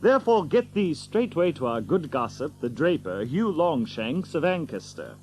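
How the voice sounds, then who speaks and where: A bold, mocking outlaw leader in a medieval audio adventure. His voice is fairly high and swoops expressively as he teases with grand mock courtesy in archaic English. Faint noise sits behind him. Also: big pitch swings, moderate pace, darkish tone. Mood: jovial. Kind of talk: audio drama acting.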